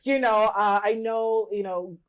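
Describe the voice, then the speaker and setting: A woman from Nigeria hosting a casual panel talk show, chatty and quick to laugh. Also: medium pitch, fairly even intonation, very noisy. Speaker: host.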